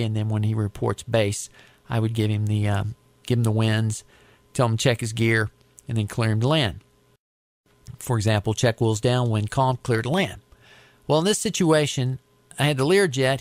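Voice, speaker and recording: An American man recounting his work as an air traffic controller in a conversational, deliberate, explanatory way. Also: medium pitch, no echo. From United States. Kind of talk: conversational storytelling interview.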